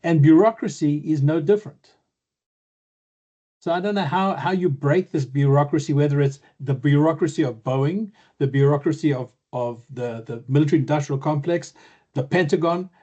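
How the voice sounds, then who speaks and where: A man hosting an interview, thinking aloud in a halting way and repeating words as he goes. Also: on the high side, dark tone. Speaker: interview host. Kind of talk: conversational interview. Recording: muffled lo-fi audio.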